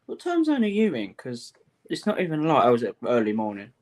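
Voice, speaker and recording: A man's voice: mid-range voice, wildly swooping pitch, fairly quick, a little mellow. A man chatting casually on a live stream in an easygoing, agreeable, offhand way. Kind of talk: casual chat.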